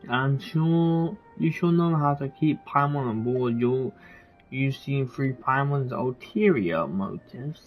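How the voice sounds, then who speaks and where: A game streamer reading dialogue aloud and commenting in a halting, offhand, casual way. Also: medium pitch, highs cut off.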